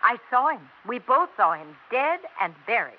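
A woman's voice: on the high side, big pitch swings, normal speed, slight background noise. An actress playing an impatient adult daughter and busy mother in a 1960s radio drama. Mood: exasperated. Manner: impatient, exasperated. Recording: dull lo-fi old radio recording.

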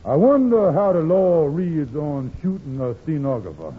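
A man's voice: a little high, very animated, normal speed, breathy edge. An actor playing a blustery middle-aged male schemer in an old radio comedy, wheedling in folksy dialect grammar. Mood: sly. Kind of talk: 1940s-50s radio comedy acting. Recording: muffled, hissy old radio recording.

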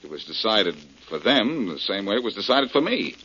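An actor playing a confident, wry detective with an assertive manner, in 1940s radio drama style. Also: male voice, on the low side, very animated, normal speed, bright, forceful tone, breathy edge, full character voice. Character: confident, wry male amateur detective hero. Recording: dull old radio recording.

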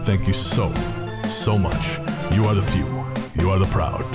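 Low-voiced man hosting a podcast. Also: fairly even intonation, measured pace, loud music behind the voice. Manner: informal, chatty. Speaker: podcast host. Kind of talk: casual podcast outro with thanks and plugs.

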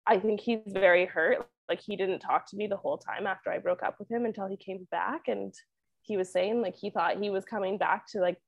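A woman's voice: medium pitch, brisk, vocal fry, no echo, lo-fi sound. A young woman from Canada with a Canadian accent, talking casually as an interview guest, saying "like" constantly and laughing often. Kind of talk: casual chat.

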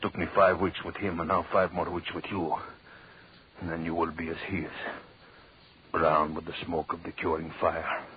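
An actor playing a deranged, vengeful man in brooding, halting, theatrical 1930s radio horror monologue. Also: low-pitched, flat delivery, lightly acted. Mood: obsessive, menacing. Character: deranged vengeful man gloating over a shrunken corpse. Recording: muffled, hissy 1930s radio recording.

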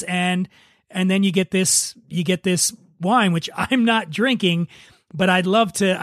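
A man's voice: high voice, no echo, studio quality. A man hosting a podcast, chatting casually and explaining things with enthusiasm and the odd swear word. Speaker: podcast host. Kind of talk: casual chat about wine.

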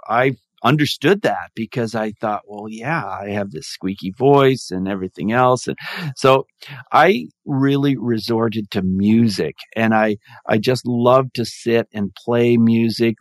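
Calm guy telling his story on a podcast, reflective, dry close sound. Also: on the low side, no echo. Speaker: podcast guest. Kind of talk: interview storytelling.

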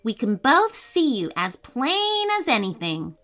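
A woman's voice: on the high side, huge pitch swings, unhurried, a bit bright, faint music behind, heavily acted. A woman voicing a curious, confident young girl in a children's story, speaking in an eager, direct way.

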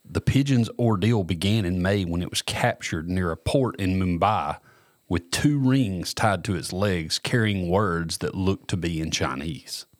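Low-voiced man reading the news. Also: unhurried, no echo, studio quality. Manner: stumbles over names, laughs often. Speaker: podcast co-host. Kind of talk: casual chat, reading a news article aloud.

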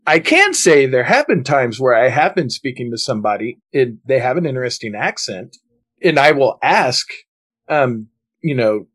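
A husky-voiced man from the United States chats on a podcast. His pitch swings widely as he tells stories with open curiosity. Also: moderate pace. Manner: curious, enthusiastic storytelling with animated swings. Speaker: podcast co-host. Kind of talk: casual conversation.

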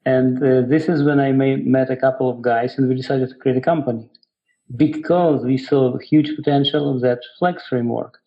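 A middle-aged man from Ukraine with an Eastern European accent, giving halting, reflective, explanatory answers as an interview guest. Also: mid-range voice, fairly even intonation, dull audio. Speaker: guest, software developer.

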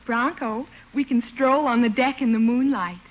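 An actress playing a sweet, romantic young newlywed niece in a 1950s radio comedy. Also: female voice, on the high side, moderate pace, lightly acted. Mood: sweet, coy. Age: young adult. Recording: muffled old radio, noisy audience behind.

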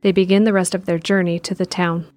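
A woman's voice: lowish voice, flat delivery, quick pace, a little mellow, dry recording. A woman reading scripted narration for an audio drama, describing the action as narrator. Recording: faint music under the voice.

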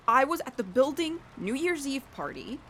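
A woman's voice: high voice, very animated, moderate pace, fairly bright tone, full character voice. Woman acting a guarded, prickly young loner, light noise. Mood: confiding. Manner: curt, defensive. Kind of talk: audio drama comedy acting.

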